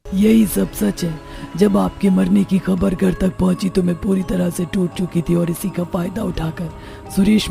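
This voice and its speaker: A ghostly witch mother played by a voice performer from a cartoon horror tale, with a gravelly, mellow voice. She speaks solemnly and dramatically, a wronged spirit who is both vengeful and tender. Music plays behind her. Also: medium pitch. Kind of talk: Hindi animated horror story dialogue.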